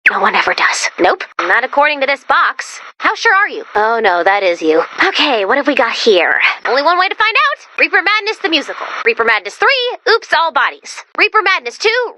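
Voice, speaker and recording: Bright, high-pitched perky robot helper. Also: female voice, slight background noise, full character voice. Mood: dry, quippy. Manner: peppy, quippy, theatrical, swinging into dry asides. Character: perky, quirky, chatty robot helper guiding a commander. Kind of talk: animated video game voice lines.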